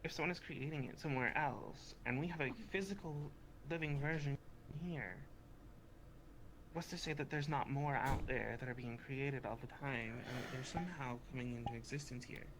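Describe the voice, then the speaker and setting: Questioning mid-pitched voice, noisy chat. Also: moderate pace. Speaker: role-player in a group meet-up. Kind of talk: casual in-character improvised chat. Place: online voice chat in a virtual world.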